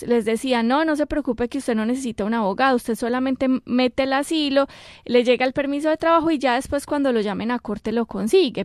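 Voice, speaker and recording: A Colombian woman speaks as an immigration lawyer on a radio show, her voice sitting a little high. She explains in a measured way, pausing on fillers. The sound is dry and close. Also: dry recording. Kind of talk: radio call-in advice talk.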